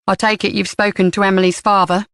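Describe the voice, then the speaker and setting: Formal male inspector, read by a woman, slightly lowered, dry close mic. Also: level tone, fast talker, fairly bright tone, clean tone, dry recording, lightly acted. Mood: measured. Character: composed male police inspector, authoritative.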